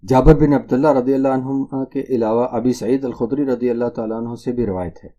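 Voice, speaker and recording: A man's voice: medium pitch, mellow. A man delivering an Islamic religious lecture in a didactic, emphatic way, repeating points for stress. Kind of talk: Islamic sermon lecture addressed to listeners.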